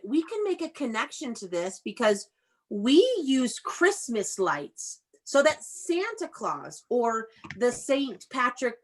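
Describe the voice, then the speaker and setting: Slow-paced woman teaching young children. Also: on the high side. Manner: instructive, prompting with questions and repetition. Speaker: elementary school teacher. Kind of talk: interactive read-aloud lesson for young children over video call. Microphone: video call.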